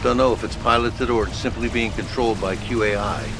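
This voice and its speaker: An actor playing a gruff, wry military officer giving clipped mission briefings in a video game. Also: male voice, mid-range voice, fairly even intonation, a little fast, background noise, natural voice. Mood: wry, businesslike. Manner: clipped, dry, informal briefing.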